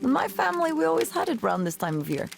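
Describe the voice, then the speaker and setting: Young female student, easygoing, wry, visual novel, animated intonation, gravelly edge, casual delivery. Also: high voice, rapid-fire, heavily acted. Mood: friendly, amused. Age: young adult. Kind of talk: naturalistic visual novel voice acting. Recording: music behind the voice. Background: background music.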